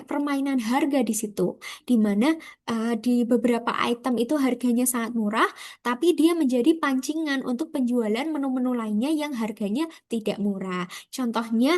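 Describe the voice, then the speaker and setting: Higher-voiced Indonesian woman presenting informally. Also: a little mellow. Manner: conversational, rhetorical questions to the audience. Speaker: student presenter. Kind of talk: informal slide presentation explaining a business case.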